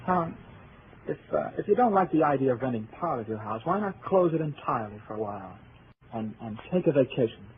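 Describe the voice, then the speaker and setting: An actor playing a brisk, patronizing male doctor, insistent and persuasive, in old-time radio drama style. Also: medium pitch, lively intonation, natural voice. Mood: insistent, advising. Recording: tinny, hissy old radio broadcast.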